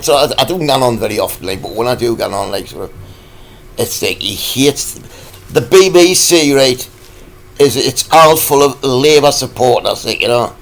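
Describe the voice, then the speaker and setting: A man, croaky from a cold, ranting in a rambling, halting vlog full of fillers. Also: mid-range voice. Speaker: vlogger.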